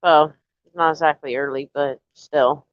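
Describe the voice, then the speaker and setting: A woman chatting casually to viewers on a live stream, a little nasal and stuffy from allergies, rambling in a relaxed way. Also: low voice, unhurried, dry recording, muffled recording. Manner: rambling, relaxed, talks straight to chat.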